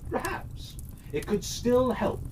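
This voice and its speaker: A storyteller narrating a children's fairytale expressively, with dramatic, deliberate phrasing. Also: medium pitch, sing-song. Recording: slightly distant mic, faint noise.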